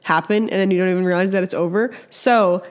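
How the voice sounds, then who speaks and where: A young woman with a slightly hoarse, scratchy voice from a cold, hosting a casual educational podcast and chatting with lots of fillers. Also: lowish voice, fast talker. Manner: chatty, with many fillers and restarts. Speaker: podcast host and early childhood educator.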